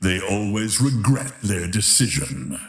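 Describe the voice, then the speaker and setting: A man playing a grandiose, menacing tournament announcer in a video game, narrating in a theatrical, emphatic, drawn-out style. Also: on the low side, expressive, reverberant. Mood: grand, dramatic. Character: grandiose, menacing male fighting-tournament announcer of epic legend. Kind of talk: dramatic scripted fighting-game narration.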